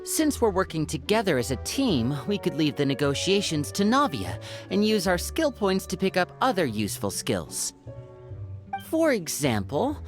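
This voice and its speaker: A shrewd young strategist in an anime game. The voice is slightly low but lively in intonation, calm and thoughtful in manner. Faint music runs underneath. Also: female voice. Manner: thoughtful, deliberate.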